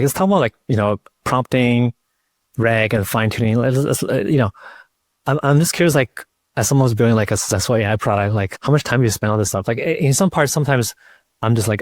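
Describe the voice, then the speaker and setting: Guy podcast host talking quick, loose casual questions piled up, dry close sound. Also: medium pitch, animated, no room sound. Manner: informal, thinks aloud in piled-up questions.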